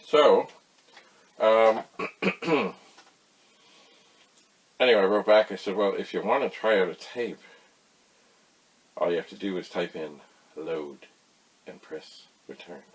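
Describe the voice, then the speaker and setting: A man with a fairly low, husky voice hosts a casual explainer. He talks in a folksy, rambling way, wandering into asides and pausing for emphasis. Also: poor audio. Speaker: host of a retro computer tutorial channel.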